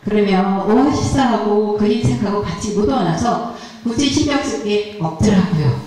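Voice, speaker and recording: A woman's voice: medium pitch, normal speed, dark tone. A middle-aged woman explaining her flower arrangement at a church seminar in a chatty, self-deprecating way, speaking into a handheld mic. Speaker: flower arranging instructor presenting her work. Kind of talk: casual seminar talk. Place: large church hall, indoors. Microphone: handheld mic, heard through hall speakers. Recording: echoey hall, voice from far off.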